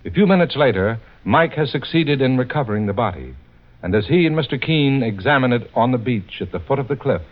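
Dramatic old-time radio announcer, muffled. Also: male voice, mid-range voice, lively intonation, fairly quick. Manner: formal, dramatic. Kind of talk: 1940s-50s radio scripted narration.

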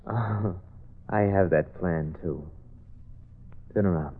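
A man playing an insane, cruel killer in a 1940s radio drama, speaking with slow, mocking menace, on a lo-fi old radio recording. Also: deep voice, breathy edge, heavily acted. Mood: menacing. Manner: cold, mocking, deliberate menace. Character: insane scheming killer, older brother, cold and cruel.

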